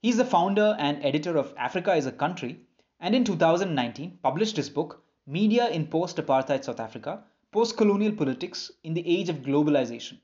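A man narrating a documentary script in an even, informative way. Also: fairly high pitch, a little fast. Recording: dull lo-fi sound.

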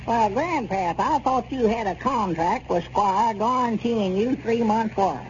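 An actor playing a plainspoken old storekeeper with a rural Southern US accent in a 1930s radio comedy. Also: male voice, sits high, unhurried. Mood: concerned. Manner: rustic, homespun phrasing. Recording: muffled, noisy 1930s radio recording.

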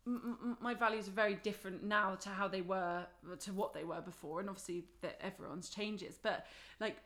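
A woman's voice: mid-range voice, fairly even intonation, brisk, a bit bright. A young woman, chatting enthusiastically as a podcast guest, often restarting her sentences. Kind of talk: casual conversation.

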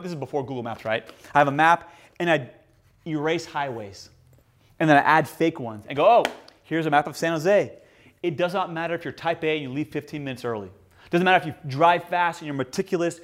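A young adult man preaching a sermon as a pastor, telling stories with emphasis and swinging between loud lines and hushed ones. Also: a little high. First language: English.

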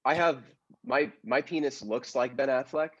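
An earnest male news reporter, played by an improviser in a comedy sketch. He is eager and stumbles over words, mid-pitched on slightly dull audio. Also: normal speed, slightly rough, close to an everyday voice.

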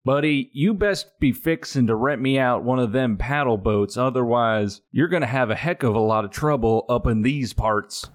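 A man's voice: mid-range voice, normal speed, few pauses, lightly acted. A man playing a blustering city fellow who fakes a folksy, inconsistent rural Southern US accent in a comedy skit. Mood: pushy. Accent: put-on rural Southern US. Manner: pushy, overdone folksy drawl, inconsistent.

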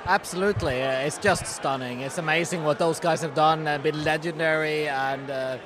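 A man from Europe, a toy collector guest, telling stories excitedly. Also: a little high, normal speed, noisy background. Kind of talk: casual chat. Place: busy hotel bar. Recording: crowded bar background.

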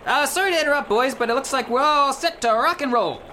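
A man playing an earnest, excitable, sweetly fussy band leader in a comedic radio play, theatrical and exclamatory. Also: very high-pitched, quick pace, slight background noise. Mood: eager. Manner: theatrical, exclamatory, talks to himself.

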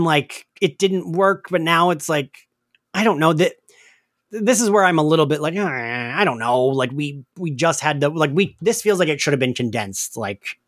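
A man's voice: on the high side, dry recording, clean studio sound. A man chatting casually as a podcast co-host, often quoting his own reactions in a conversational way. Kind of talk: casual recap chat.

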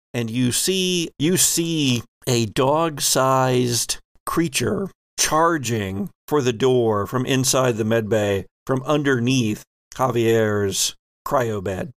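Unhurried man with a creaky voice. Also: mid-range voice, dry recording, studio quality. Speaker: game master. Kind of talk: improvised tabletop game narration.

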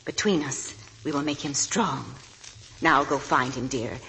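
An actress playing a genteel, blunt, anxiously devoted middle-aged mother, gracious and deliberate, in a 1940s radio drama. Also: rumbling low, pushed and bright, full character voice. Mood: uneasy, pleading. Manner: gracious, deliberate, hesitant when uneasy. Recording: noisy vintage 1940s radio broadcast.

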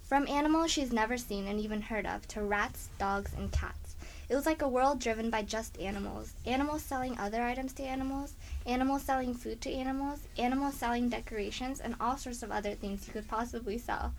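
A woman's voice: on the high side, brisk, poor audio. A woman reading scripted narration for a children's story as the narrator. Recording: faint background noise.

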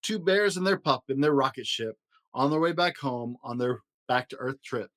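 A man hosting a story podcast, reading a rhyming children's picture book aloud in an expressive, rhythmic way. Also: medium pitch, normal speed. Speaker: podcast host and story reader.

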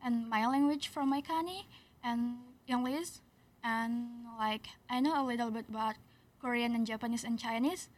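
Teen girl, higher voice, simple phrasing. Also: moderate pace. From Indonesia. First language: Indonesian. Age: teens. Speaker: exchange student guest.

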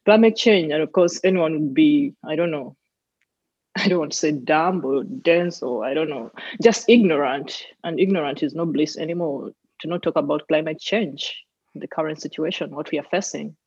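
Earnest Ugandan-accented woman, husky. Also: sits low, normal speed, a little mellow, no echo, lo-fi sound. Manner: earnest, emphatic, with frequent "you know"s and laughs. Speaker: guest, environmental advocate. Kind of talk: casual interview talk.

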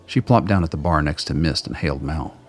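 A man's voice: extremely low pitch, a little fast, husky, soft background music. A man reading scripted third-person narration for a radio play.

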